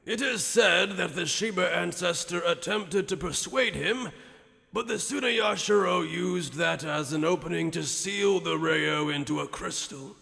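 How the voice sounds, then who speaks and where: A man voicing an ancient, grandiose sage in an anime fan dub, telling lore in a measured, portentous and theatrical way. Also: high-pitched, creaky, sharp tone, full character voice. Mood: grave, grandiose. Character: ancient, grandiose male sage recounting the world's creation. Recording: long hall-like reverb.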